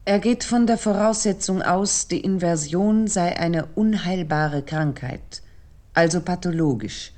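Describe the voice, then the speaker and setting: A woman performing as a thoughtful essayist, narrating a scripted radio essay in a measured, articulate, formal way. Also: lowish voice, a little mellow, natural voice. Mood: measured, reflective.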